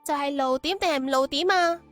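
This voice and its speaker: A woman's voice: high voice, fairly quick, a bit bright, no room sound. A woman voicing a caring but blunt young woman in audiobook dialogue. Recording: music behind the voice.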